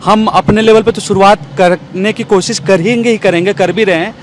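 A man's voice: sits high, fairly even intonation, normal speed. A man in his thirties asking questions as an interviewer, recorded outdoors on a handheld microphone. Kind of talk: on-camera interview. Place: outdoor bench in an open courtyard. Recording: clear outdoor urban background noise.

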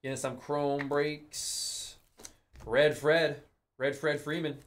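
Young male host for a live card break, relaxed banter, calls out each card. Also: a little high, slow pace. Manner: casual, calls out cards, excited on big hits. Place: studio with card boxes.

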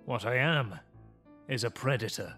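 An actor plays an ancient male vampire, a cold and arrogant predator in a gothic audio drama. He speaks slowly and haughtily, with menace in each measured phrase. Music plays behind his voice. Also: medium pitch, lively intonation, sharp tone. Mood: cold, predatory.

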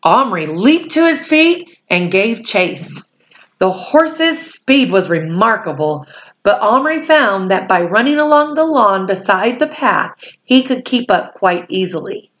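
A woman in her forties reading a storybook aloud to children, deliberate and pausing phrase by phrase, with explanatory asides. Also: medium pitch, big pitch swings, a bit bright, highs cut off. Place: small room at home, indoors.

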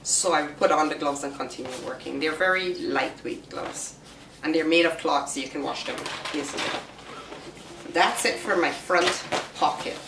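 A middle-aged woman with a slightly raspy voice from a recent virus, chatting casually as she shows what she carries to work. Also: sits low. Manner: chatty, unhurried, explains each item. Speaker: vlogger. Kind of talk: casual show-and-tell vlog. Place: small room indoors. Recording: roomy, distant camera sound with faint hiss.

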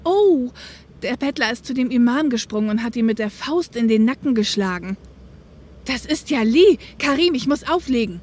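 A woman's voice: fairly high pitch, animated, moderate pace, a little mellow, slight background noise, natural voice. A woman playing a streetwise young woman driver, wry and dreamy, in a German audio drama. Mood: alarmed.

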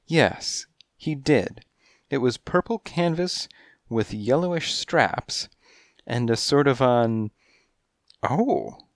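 A man doing a coy, breathy lady cat. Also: medium pitch, lively intonation, takes it slowly, pauses often, no room sound, studio quality, voice pushed up. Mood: gushing. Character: flirtatious dainty female cat client, dramatic.

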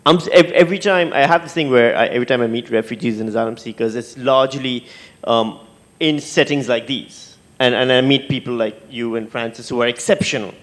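A man moderating a panel on stage, conversational and halting, repeating words as he thinks aloud into a handheld microphone. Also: mid-range voice. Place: stage in an auditorium with audience.

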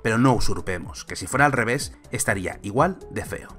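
A man's voice: on the low side, animated, brisk, a bit bright, faint music behind. A man in his thirties from Spain, a film commentator giving an argumentative, sarcastic opinion vlog on a close mic.

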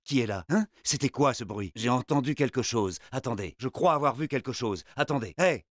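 A man's voice: medium pitch, lively intonation, brisk, dry recording, studio quality, natural voice. An actor playing a wary male sentry on patrol in a video game, watchful and muttering. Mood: suspicious. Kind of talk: video game guard dialogue.

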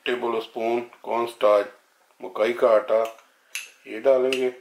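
A man's voice: mid-range voice, flat delivery, unhurried, a little hiss or hum. A middle-aged man, explaining a recipe step by step in a casual, friendly way in his home kitchen. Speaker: home cook. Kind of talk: casual cooking vlog. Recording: echoey kitchen.

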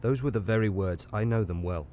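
A man voicing a troubled, lonely railway signalman who speaks low and gravely in an audiobook. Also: brisk, a little hiss or hum, close to an everyday voice. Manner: low, hushed, grave speech. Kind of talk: audiobook character reading.

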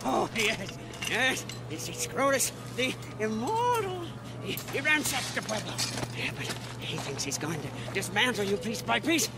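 Manic high-pitched zealot mechanic. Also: male voice, measured pace, heavy background noise, heavily acted. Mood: nervous, manic. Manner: excitable, stammering, reverent, theatrical. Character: manic, zealous hunchback mechanic who worships an engine angel. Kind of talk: video game character acting.

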